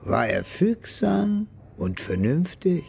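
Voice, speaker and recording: An actor narrating a crime radio drama in a measured, scripted manner. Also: male voice, fairly high pitch, very animated, creaky, darkish tone, slight background noise, heavily acted.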